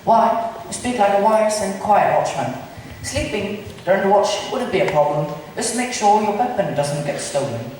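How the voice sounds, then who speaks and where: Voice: mid-range voice, lively intonation, moderate pace, darkish tone, close to an everyday voice. A student actor performing simplified Shakespeare on stage in an amateur drama club play, delivering lines in an everyday voice. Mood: pompous, instructing. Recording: echoey hall from far off, faint noise.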